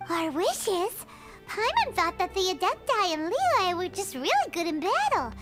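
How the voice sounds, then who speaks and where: A woman voicing a tiny, perky, self-important childlike fairy companion in a video game, with a squeaky voice. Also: very animated, normal speed. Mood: perky, curious. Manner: speaks of self in third person. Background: music.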